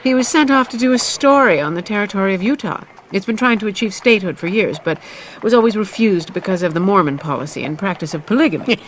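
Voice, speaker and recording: A woman's voice: medium pitch, expressive, fast, hardly any pauses, a little hiss or hum, close to an everyday voice. A woman playing a spirited, wry lady examiner of the 1890s in an old-time radio mystery, with a theatrical delivery. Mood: cool, matter-of-fact. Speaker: actor.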